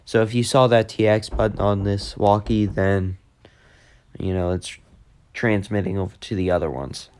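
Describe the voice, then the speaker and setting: A man giving a casual, unscripted tech demo in a halting, repetitive way that tends to trail off. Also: on the low side, steady tone, a little mellow. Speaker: video host demonstrating a walkie-talkie app.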